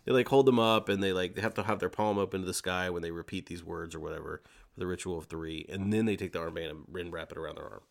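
A man with a fairly low voice co-hosts a casual fan podcast. He explains stories in an animated manner, talking conversationally as he goes. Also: fast talker, creaky. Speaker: podcast host. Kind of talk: casual fan discussion, retelling a story.

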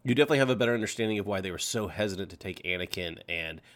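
A man with a fairly low voice talks as a podcast co-host. He rambles casually through a book discussion with friends, dropping in slangy exclamations. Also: very fast.

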